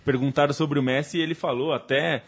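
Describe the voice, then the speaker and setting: A man from Brazil explaining football matches in a calm, measured way during a casual debate. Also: on the high side, vocal fry. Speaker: football pundit.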